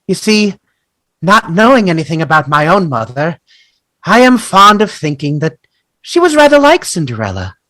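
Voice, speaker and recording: A woman's voice: on the low side, very animated, moderate pace, fairly bright tone. A woman playing an anxious, wistful young boy in an audio play.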